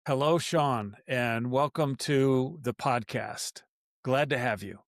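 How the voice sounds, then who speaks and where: A man hosting a podcast interview at a middle pitch. He rambles and restarts his sentences as he talks. The sound is dry and close, with no room echo. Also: slow. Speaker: podcast host.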